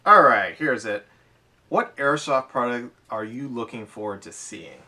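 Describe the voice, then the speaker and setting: A man co-hosting a hobby podcast, recorded some way off from the microphone. He talks casually and off the cuff, explaining as he goes in loose, run-on sentences, at a middling pitch. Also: animated, measured pace, sharp tone. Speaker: co-host of an airsoft podcast. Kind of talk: casual unscripted chat. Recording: voice distant from the microphone.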